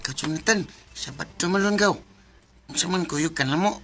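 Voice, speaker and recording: A cheerful village youth from a radio drama, as an actor plays him, friendly and always joking with his friends. His voice has a gravelly edge and a bright, forceful tone, with faint noise behind it. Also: sits high, normal speed. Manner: friendly and persuasive, bantering.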